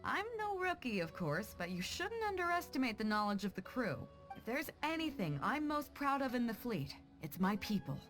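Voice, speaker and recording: Game captain: hearty, proud, commanding female seafarer, slightly high and animated, music underneath. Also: normal speed, few pauses. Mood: proud, teasing. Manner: confident, commanding. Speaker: ship captain character. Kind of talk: video game voice acting. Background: background music.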